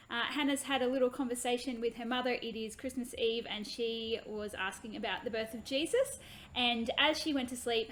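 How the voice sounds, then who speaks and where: A woman reading her own children's book aloud in an expressive, animated way. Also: on the high side.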